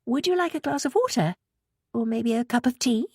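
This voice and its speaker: A woman voicing an anxious, guilt-ridden mother in an audiobook, husky and carefully polite. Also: a little high, expressive, brisk, no room sound, clean studio sound, voice pushed up. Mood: polite, strained. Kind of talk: audiobook character dialogue.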